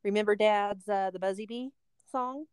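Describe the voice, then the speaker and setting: Animated storytelling woman, dry close sound. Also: medium pitch, normal speed, clean tone, no room sound. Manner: animated storyteller, acts out voices. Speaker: podcast co-host and substitute teacher. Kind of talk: casual chat.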